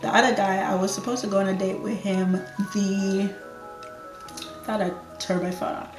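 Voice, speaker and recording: A woman's voice: mid-range voice, fairly even intonation, normal speed, reverberant, over music. A Jamaican woman in her thirties, chatting casually and unhurriedly through a get-to-know-me Q&A for her channel, laughing easily. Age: 30s. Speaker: lifestyle YouTuber.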